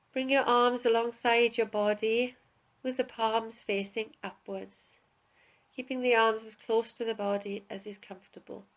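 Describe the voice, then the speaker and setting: A woman instructor guiding a relaxation session in a calm, unhurried, instructional way with pauses. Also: a little high. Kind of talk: guided relaxation, spoken instruction.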